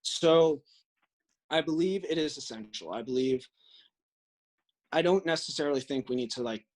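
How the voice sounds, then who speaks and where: A man's voice: fairly high pitch, normal speed. A man with an American accent giving earnest, explanatory answers as a podcast guest in a conversational interview. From Illinois, United States.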